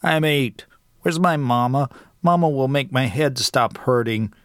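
A man's voice: mid-range voice, a little slow, no echo, studio quality, lightly acted. A man voicing a grown man who has the mind of a confused eight-year-old, speaking with a childlike lilt. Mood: bewildered. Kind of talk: audiobook character reading.

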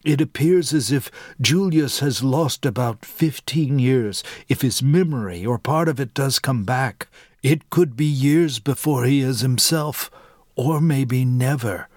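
A man voicing a calm, blunt adult male healer who explains things firmly. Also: medium pitch, a little slow, no echo, clean studio sound, lightly acted. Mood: grave. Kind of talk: audiobook character reading.

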